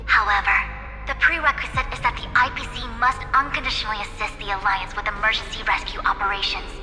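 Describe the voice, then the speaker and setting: A woman's voice: medium pitch, steady tone, quick pace, natural voice. An actress playing a stern, dutiful female envoy in a game cutscene, speaking in a formal, pointed way. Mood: firm, formal. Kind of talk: scripted game cutscene acting. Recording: echoey hall reverb, music underneath.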